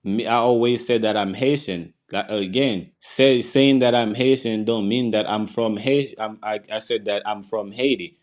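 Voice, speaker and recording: A man from the Virgin Islands with an island accent, speaking as a guest in a casual live-stream chat, repeating himself defensively with many halting restarts. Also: lowish voice, steady tone. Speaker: panel guest.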